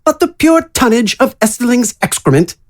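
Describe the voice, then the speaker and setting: A man voicing a pompous, wordy gentleman adventurer with florid, grandiose delivery, in a fantasy audio drama. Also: extremely high pitch, very animated, normal speed, voice pushed up. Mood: grand scolding.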